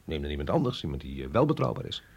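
Sceptische agent met schorre stem. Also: male voice, extremely low pitch, big pitch swings, rapid-fire, creaky, slightly rough, heavily acted. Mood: wary. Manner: hesitant, questioning, wry. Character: sceptical, wry male agent, an outsider out of his depth. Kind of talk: radio drama acting.

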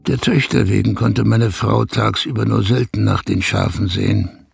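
Radio drama narrator: burdened shepherd, sober, very hoarse-edged and gravelly, dry sound. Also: male voice, on the low side, steady tone, normal speed, no room sound, full character voice. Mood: sober, reflective. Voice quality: creaky, very husky. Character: sober, burdened male shepherd recalling hard years. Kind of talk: first-person radio play narration.

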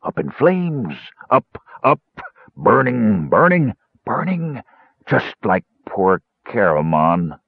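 Big drunk would-be wizard for a fantasy tale, slow, solemn, rambling; acted by a man. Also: lowish voice, very animated, vocal fry, husky, no echo, phone-quality sound, exaggerated voice. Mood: drunken excitement. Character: big, drunken man blundering as a mock magician.

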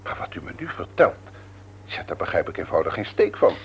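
An actor playing a formal, self-important company boss in a Dutch radio play, pompous and emphatic. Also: male voice, deep voice, wildly swooping pitch, fairly quick, breathy edge, exaggerated voice. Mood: baffled, exasperated. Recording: muffled old radio play recording.

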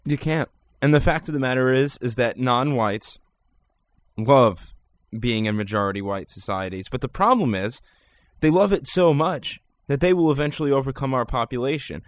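A man's voice: medium pitch, expressive, normal speed. A man hosting a talk show, speaking with an emphatic, declarative delivery. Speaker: podcast host.

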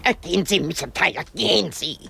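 A woman's voice: lowish voice, a little fast, gravelly, a bit bright, a little hiss or hum. An actress in a radio drama playing a weary, querulous old married woman, dramatic, self-pitying and given to exclamations.

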